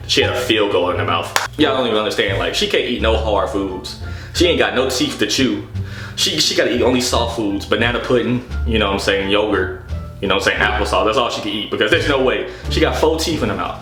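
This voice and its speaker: A man telling a story casually and comedically, in an exasperated, animated, slangy way with plenty of swearing. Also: fairly low pitch. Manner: animated, exasperated, profane, slangy with repeated "you know what I'm saying". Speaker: storytelling vlogger. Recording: echoey room with music behind.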